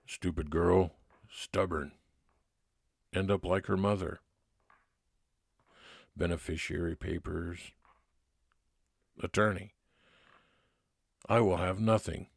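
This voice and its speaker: Man playing a deep muttering greedy schemer. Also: fairly even intonation, slow, creaky, long pauses, husky, dry recording, studio quality, heavily acted. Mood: bitter muttering. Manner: muttering in clipped fragments. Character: bitter, greedy scheming father muttering to himself. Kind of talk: old-time radio acting.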